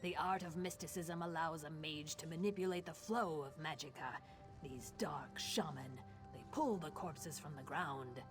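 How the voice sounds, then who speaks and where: An actor playing a grim, fierce Argonian lizard-folk mage in a fantasy game, hissing and speaking in solemn, deliberate, formal phrases. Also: male voice, on the high side, natural voice. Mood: grave, explaining. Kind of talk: fantasy video game voice acting. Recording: game audio with music behind.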